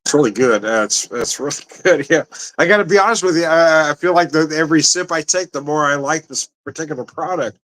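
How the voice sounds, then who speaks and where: A man's voice: on the high side, a little fast, a bit bright. A man giving a casual, rambling tasting review, talking to the camera with frequent laughs and restarts. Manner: chatty, rambling, laughs often. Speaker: drinks reviewer.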